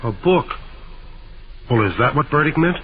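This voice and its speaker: Detective's male sidekick actor, vintage radio mystery: lively pitch, informal questioning manner, muffled noisy broadcast. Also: normal speed, crowd noise, natural voice. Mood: curious, puzzled. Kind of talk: old-time radio drama acting.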